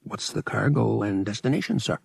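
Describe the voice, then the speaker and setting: A man's voice: fairly low pitch, expressive, normal speed, vocal fry, a little mellow, breathy edge, no room sound, heavily acted. A man voicing a cocky, wry young male pilot in an audiobook, with casual, clipped delivery. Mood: eager, deferential. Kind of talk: audiobook character voice.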